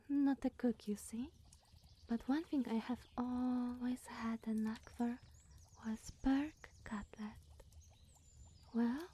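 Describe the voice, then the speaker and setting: A woman playing a flustered, eccentric young witch groundskeeper in an ASMR roleplay, rambling and awkwardly apologetic in a soft, breathy voice. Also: on the high side.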